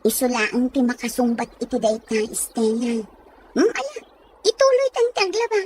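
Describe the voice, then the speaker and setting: Frank, nosy Filipina best friend played by a woman, high and swooping voice, Ilocano accent, village audio drama, noisy recording. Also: very animated, moderate pace, darkish tone. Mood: practical. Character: frank, nosy, lively young village girlfriend and confidante.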